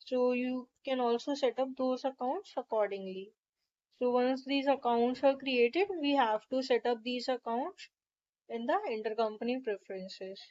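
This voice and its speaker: A woman narrating a step-by-step software tutorial in a methodical, instructional way. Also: on the high side, fairly even intonation, moderate pace, dull audio.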